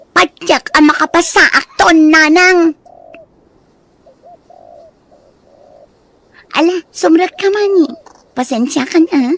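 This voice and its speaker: Actress as lovesick young girlfriend, high voice, fretful and emotional, radio drama style. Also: a bit bright, heavily acted. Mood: insistent, then downcast. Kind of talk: Ilocano radio drama acting.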